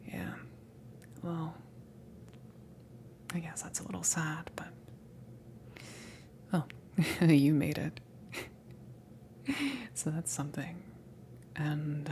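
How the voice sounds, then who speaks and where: A woman acting as a casual alien salvage crew member for an ASMR roleplay. She speaks very slowly in a breathy, low whisper, halting and gently teasing. Ambient noise sits behind the voice. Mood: gentle, casual. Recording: noticeable background noise, ambience.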